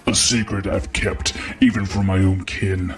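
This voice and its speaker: An actor playing a solemn, menacing warlord master in an audio drama, speaking in a grave, ominous way. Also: male voice, low voice, steady tone, measured pace, faint music behind. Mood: brooding, ominous. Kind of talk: scripted audio drama acting.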